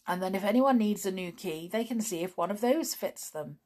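A woman doing a quick-talking toy policeman. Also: mid-range voice, lively intonation, a little mellow, lightly acted. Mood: helpful. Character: helpful male toy policeman.

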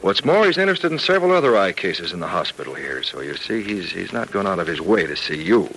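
An earnest male doctor in a vintage radio soap. His low voice is bright and firm, moving expressively as he patiently persuades. The old recording has some faint noise. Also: very animated, fast talker, creaky, full character voice, poor audio. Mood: earnest, insistent. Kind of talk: old-time radio serial drama acting.

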